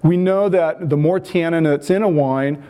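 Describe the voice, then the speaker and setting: An American man, a wine chemistry researcher, giving a conference lecture in a relaxed, self-deprecating way with frequent ums and restarts. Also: on the high side, moderate pace, smooth. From United States.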